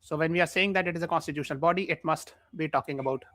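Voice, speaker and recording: A feverish man with an Indian accent teaches a live online exam-prep class. His voice is fairly high and bright, recorded dry. He explains by repeating key points and tossing questions to the learners. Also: rapid-fire, dry recording. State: mildly feverish.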